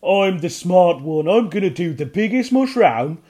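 A man voicing a small, mischievous, nosy goblin boy in a high, squeaky, whining voice. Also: very animated, unhurried, a little mellow, breathy edge, heavily acted. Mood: pleading.

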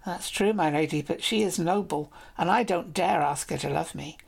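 A woman's voice: lowish voice, normal speed, vocal fry, breathy edge, exaggerated voice. Woman playing a shy lovestruck knight. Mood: earnest, pleading. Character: young male knight, brave but lovestruck, shy.

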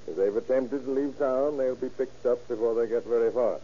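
Man playing very dark-voiced commanding sheriff. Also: medium pitch, a little fast, slight background noise, close to an everyday voice. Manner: authoritative, clipped orders. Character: brisk, commanding county sheriff giving orders to deputies. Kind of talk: 1930s radio crime drama acting. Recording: muffled old radio recording.

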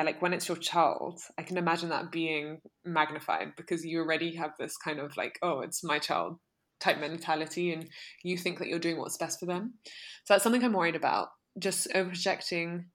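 Young woman, podcast co-host, low-ish voice, reflective casual talk. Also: a bit bright. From United Kingdom. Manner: reflective, filler-heavy with many likes.

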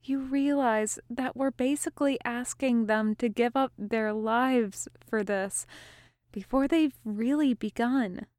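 A woman voicing an excitable, anxious young female mage in an audiobook story, earnest and breathless as she rambles. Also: fairly high pitch, takes it slowly, no room sound, clean studio sound. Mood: soft, sad.

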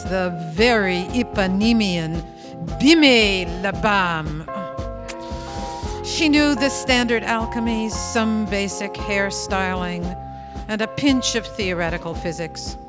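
An ageless sorceress tells a comic audio tale with wry fondness. She speaks slowly and deliberately at a medium pitch, with music clearly playing behind her. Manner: deliberate, wryly dramatic. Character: wry, fond, ageless sorceress of thirty-eight narrating. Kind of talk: comic storytelling narration in audio theatre.

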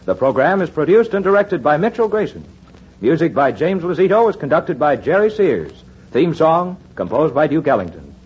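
A man's voice: a little high, sing-song, normal speed, darkish tone. A man announcing the credits of a radio program in a formal, declamatory broadcast style. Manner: crisp, declamatory credits reading. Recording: dull 1940s radio broadcast recording with music.

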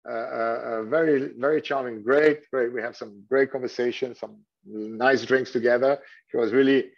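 A man's voice: medium pitch, steady tone, normal speed, vocal fry. A middle-aged man from Switzerland whose first language is French, answering interview questions with many fillers and restarts. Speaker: hotel general manager. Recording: dull lo-fi sound.

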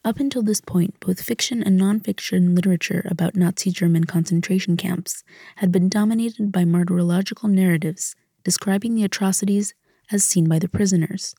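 Dark, husky woman narrator. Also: on the low side, steady tone, fairly quick, no room sound, studio quality. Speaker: documentary narrator. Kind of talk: scripted narration.

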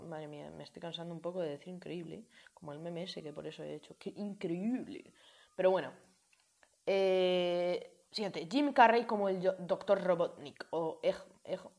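A woman's voice: mid-range voice, darkish tone. A woman chatting through a casual film review, addressing viewers with many fillers and restarts.

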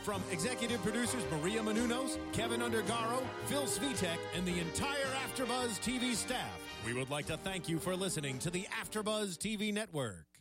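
A man's voice: high voice, lively intonation, fairly quick, few pauses, over loud music. A man reading a scripted network outro as an announcer.

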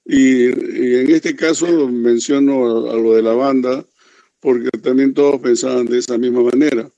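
A man in his seventies with a Peruvian Spanish accent, a rock singer telling stories from his youth in an unhurried, reflective interview. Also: medium pitch, steady tone, warm tone, no echo, lo-fi sound. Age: 70s. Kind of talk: reminiscing interview.

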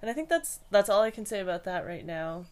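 A young woman from Hawaii, a podcast guest answering interview questions in a hesitant, thoughtful way with many pauses and restarts. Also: medium pitch, sing-song, darkish tone.

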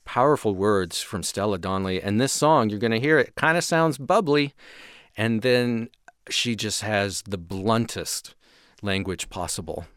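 Low-voiced man, dry studio sound. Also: a little slow, fairly bright tone, clear, resonant tone, dry recording. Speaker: music show host. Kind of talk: conversational music radio presenting.

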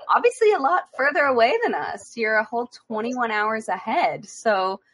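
A woman's voice: on the high side, animated, normal speed, fairly bright tone, breathy edge. A woman hosting an interview, asking questions in a friendly, chatty way. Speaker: interview host. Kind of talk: casual interview chat.

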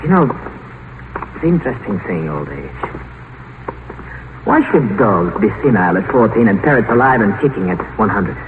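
A brash and cynical doctor in a 1950s radio drama. His talk is breezy and bossy, with widely swinging, animated intonation. The old broadcast is thin and tinny, with clear background noise. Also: fairly low pitch, moderate pace, long pauses. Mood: breezy, knowing. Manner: breezy, sardonic, domineering. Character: brash, cynical male doctor and longevity researcher.

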